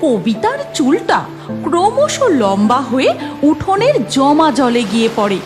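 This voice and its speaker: A woman reads scripted cartoon narration in a high-pitched voice. Her intonation is highly varied. Music plays clearly underneath. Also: sing-song, unhurried.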